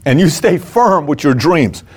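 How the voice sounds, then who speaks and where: Higher-voiced man giving an emphatic motivational talk. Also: lively intonation, a little slow, a little mellow, husky. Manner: emphatic, punchy short phrases with rhetorical questions. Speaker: motivational business coach. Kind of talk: motivational talk to an audience, conversational and rhetorical.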